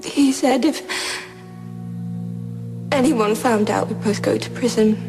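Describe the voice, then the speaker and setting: A woman playing a frightened, guilt-ridden teenage schoolgirl testifying in a courtroom audio drama, halting and emotional. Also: fairly high pitch, over music. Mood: tearful, guilty.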